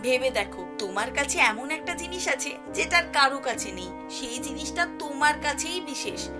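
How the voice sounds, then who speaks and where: A woman's voice: high-pitched, animated, moderate pace, music in the background. A woman playing a practical fisherman's wife in a cartoon, reassuring and persuasive. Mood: encouraging. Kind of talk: cartoon dialogue acting.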